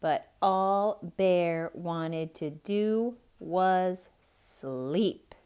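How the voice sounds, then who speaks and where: A woman reading a picture book aloud to children in an expressive style, pausing to ask the listener questions. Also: fairly low pitch, smooth. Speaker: children's storyteller. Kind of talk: theatrical read-aloud for kids.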